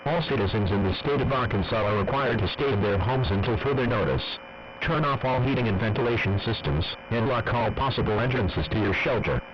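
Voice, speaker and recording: A synthetic text-to-speech man reading a formal scripted emergency broadcast in a flat, measured, impersonal way. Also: on the low side. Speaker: emergency alert announcer. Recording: dull lo-fi audio, loud radio noise.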